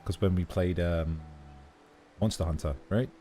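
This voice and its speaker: A man's voice: low voice, fairly even intonation, unhurried, dark tone. A man chatting casually while livestreaming a game, his voice scratchy, rambling and correcting himself as he goes. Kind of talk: casual gameplay stream chat. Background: faint game music.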